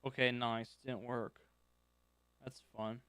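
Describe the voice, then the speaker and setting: A man on a live stream debugging his code, half talking to himself in clipped fragments. His voice is smooth and even in pitch, though it is starting to wear thin. The sound is dry and close, with no room around it. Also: slow pace, no room sound. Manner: thinks aloud in short fragments while debugging. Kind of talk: live-stream coding commentary, muttering to himself.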